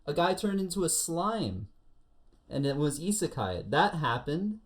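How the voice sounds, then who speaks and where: Animated man chatting on a comedic podcast. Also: on the high side. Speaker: podcast co-host. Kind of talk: casual comedic podcast chat about anime.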